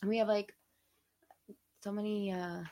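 A woman's voice: medium pitch, flat delivery, measured pace, dark tone. A woman in her thirties hosting a casual livecast while sick and losing her voice, rambling and laughing, sometimes dropping to a whisper. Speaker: livecast host. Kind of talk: casual chat.

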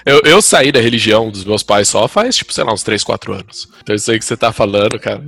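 Bright-voiced São Paulo man chatting. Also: on the low side, quick pace, gravelly, no room sound, soft background music. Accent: São Paulo Brazilian Portuguese. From Brazil. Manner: animated storyteller, acts out quotes. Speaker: podcast participant. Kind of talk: casual group chat.

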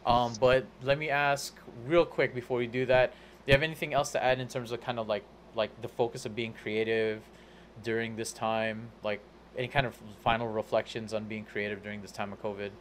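A man's voice: mid-range voice, level tone, fairly quick, a little hiss or hum. A man hosting a live podcast, rambling through his thoughts with many fillers and restarts. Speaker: podcast host. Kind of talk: casual podcast chat.

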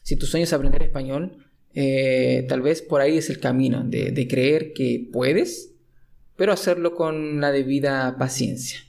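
A young Chilean man with a gravelly, creaky voice hosts a relaxed podcast chat. He speaks in a mid register, circling back and restarting his sentences as he thinks. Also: mid-range voice, a little slow. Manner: hesitant, restarts and fillers. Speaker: podcast host. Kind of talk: casual conversational podcast.

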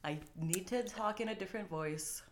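A woman's voice: lowish voice, animated, fairly quick, a bit bright, slight background noise. A woman co-hosting a podcast in casual chat, with a playful, bantering manner. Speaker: podcast co-host. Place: living room.

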